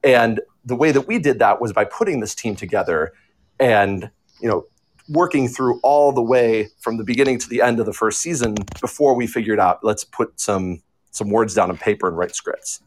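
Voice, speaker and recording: A man's voice: mid-range voice, moderate pace. A man on a panel explaining the writing process as a show creator, methodical and emphatic.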